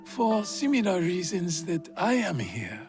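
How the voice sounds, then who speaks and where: Game role: solemn feudal lord, probing and measured, fairly high expressive voice, music underneath. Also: male voice, heavily acted. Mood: grave, philosophical. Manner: measured, formal, deliberate. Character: grave, probing feudal Japanese lord, reflective and regretful. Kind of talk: video game cutscene acting.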